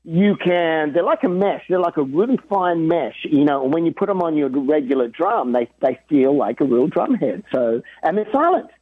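A man being interviewed about drumming and faith, talking in an earnest, animated way and laughing easily. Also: a little high, moderate pace, hardly any pauses, slightly rough, dry recording. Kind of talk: casual interview chat. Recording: dry tinny phone-like line.

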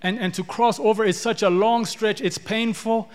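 A man's voice: sits high, steady tone, normal speed. A man from Ethiopia preaching a sermon, repeating words and building in rising declarations. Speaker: pastor. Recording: long hall reverb.

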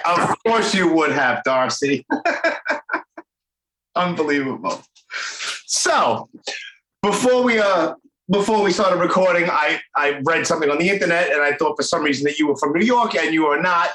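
A man's voice: on the high side, animated, normal speed. A man in his forties with a Brooklyn accent, hosting a casual podcast interview in a rambling, chatty way. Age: 40s. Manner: chatty, warm-hearted, rambling. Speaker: podcast host. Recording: echoey room.

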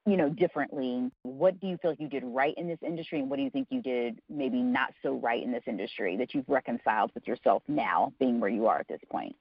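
A woman's voice: very deep voice, fairly quick, hardly any pauses, slightly rough, no room sound. A woman hosting a podcast interview, asking long, winding questions with plenty of ums. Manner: engaged, asks long rambling questions. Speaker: podcast host. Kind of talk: interviewing a guest. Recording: dull, muffled podcast audio.

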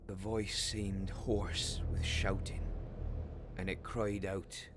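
An actor playing a troubled railway signalman in a radio ghost story, speaking gravely and haltingly with dramatic intensity. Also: low-pitched, level tone, heavy background noise. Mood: tense recounting. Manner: grave, halting, dramatic storytelling with hushed drops and anguished outbursts. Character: haunted, troubled railway signalman telling of a spectre. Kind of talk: radio play acting of a Victorian ghost story.

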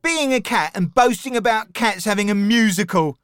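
A woman's voice: medium pitch, lively intonation, moderate pace, dry recording, clean studio sound, close to an everyday voice. A woman voicing a proud, easily wounded little male dog who splutters huffy protests in a dramatised children's audiobook. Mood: defiant.

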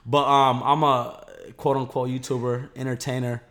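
A man's voice: medium pitch, flat delivery, moderate pace, fairly bright tone. A young man talking as a podcast guest in a laid-back, slangy way, swearing freely. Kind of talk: casual chat.

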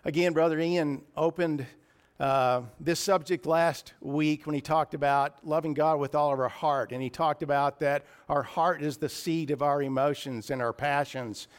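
A man with a raspy voice preaching a sermon in church, earnest and a little emotional, often clearing his throat. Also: a little high, steady tone, normal speed.

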